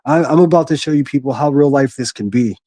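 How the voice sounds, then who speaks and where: A man with a gruff voice hosting a solo livestream from his quiet living room, reading chat and speaking bluntly with frequent restarts. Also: mid-range voice, quick pace, no echo, clean studio sound. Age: adult, a military veteran. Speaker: livestream host.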